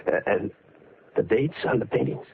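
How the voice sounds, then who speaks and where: A man's voice: mid-range voice, lively intonation, moderate pace, vocal fry, slight background noise. An actor playing a haunted, desperate man telling of his descent into madness, in intense 1940s radio mystery style. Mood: uneasy. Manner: dramatic, brooding, intense. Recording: tinny vintage radio recording with music behind.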